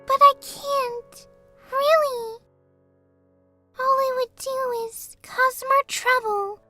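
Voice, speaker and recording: A woman voicing a timid, gentle, sorrowful young female pony with a soft, hesitant little voice in a fan audio drama. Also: very high-pitched, fairly even intonation, full character voice. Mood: pained, apologetic. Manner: hesitant, halting. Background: prominent music.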